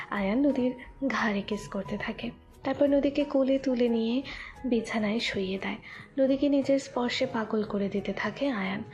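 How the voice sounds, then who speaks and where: A woman narrates a scripted love story. Her voice sits fairly high, and faint music runs underneath the narration. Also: darkish tone, muffled recording.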